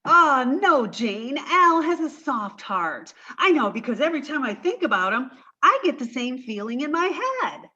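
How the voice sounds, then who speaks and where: A woman playing a naive, soft-hearted, scatterbrained young woman in a 1940s-style radio comedy. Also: high-pitched, sing-song, moderate pace, few pauses, breathy edge, highs cut off, heavily acted. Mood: earnest, naive.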